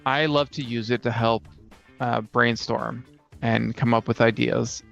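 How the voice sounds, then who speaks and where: A man in casual conversation as a co-host and player, halting as he searches for words. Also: medium pitch, steady tone, creaky, a bit bright. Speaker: podcast co-host and tabletop player. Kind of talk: casual chat about fantasy tabletop games. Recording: dull lo-fi sound with faint music. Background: faint guitar music.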